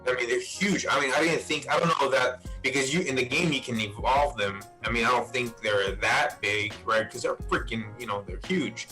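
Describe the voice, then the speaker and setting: Male host, bright mid-pitched voice, informal buddy chat. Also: normal speed, hardly any pauses, rough recording. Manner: informal, saying "man" and "bro" often. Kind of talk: casual chat about video game movies. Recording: music playing behind the voice. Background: music.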